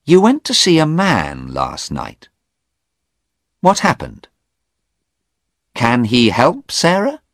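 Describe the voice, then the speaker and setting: Man voicing a determined woman, urgent and pressing, raised voice, slow and deliberate, dry close sound. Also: medium pitch, wildly swooping pitch, a bit bright, no echo, pitched above the performer's own voice, exaggerated voice. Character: determined middle-aged woman, urgent and firm.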